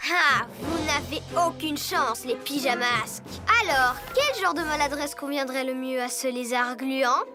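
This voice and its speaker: Gloating, high-voiced child villain. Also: female voice, unhurried, few pauses. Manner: taunting, theatrical. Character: gloating child villain, mad-inventor schemer. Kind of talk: French animated series dubbing. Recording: loud music behind the voice.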